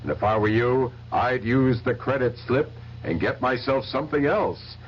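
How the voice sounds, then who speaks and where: An actor playing a helpful, slightly officious consumer advisor in a radio advertisement, explaining things reassuringly. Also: male voice, medium pitch, moderate pace, lightly acted. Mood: instructive. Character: helpful, instructive male consumer-bureau advisor. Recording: dull, faintly noisy radio recording.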